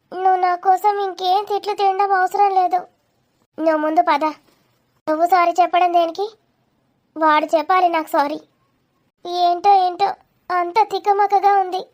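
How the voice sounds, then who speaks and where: A woman acts out village women of an animated family drama, from young friends to a worried mother. The voice is extremely high and small in colloquial dialogue.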